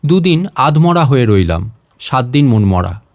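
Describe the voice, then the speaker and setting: A man narrating a literary short story in an expressive, dramatised reading style. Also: medium pitch, measured pace, dry recording, studio quality.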